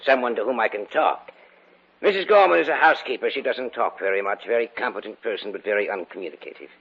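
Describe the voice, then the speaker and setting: An actor playing a lonely, agreeable old gentleman in an old radio drama, courteous, measured and mildly hesitant. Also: on the low side, breathy edge. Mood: courteous, probing. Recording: muffled vintage radio recording.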